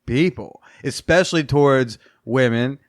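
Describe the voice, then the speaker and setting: This is a man in his thirties hosting a casual podcast conversation. He talks in loose, rambling sentences with lots of "like" and casual profanity. The sound is dry and close to the mic, and his pitch sits in the middle range for a man. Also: unhurried, no room sound. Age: 30s. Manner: chatty, swears casually, laughs easily. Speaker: podcast host. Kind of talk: casual interview chat.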